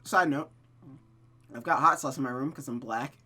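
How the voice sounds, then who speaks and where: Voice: medium pitch, moderate pace, sharp tone. A streamer narrating a visual novel aloud, with casual commentary.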